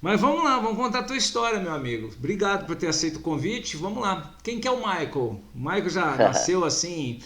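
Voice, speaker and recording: A man's voice: sits high, big pitch swings, brisk, few pauses, fairly bright tone. A man hosting an interview, chatty and full of enthusiastic interjections. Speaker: interview host. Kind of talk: casual interview.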